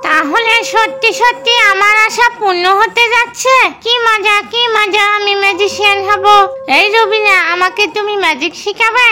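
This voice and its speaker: Squeaky, excitable little cartoon girl. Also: normal speed, soft background music. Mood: eager. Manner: chatty, questioning, excitable. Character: eager, easily frightened young girl who dreams of magic. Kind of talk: Bengali cartoon voice acting.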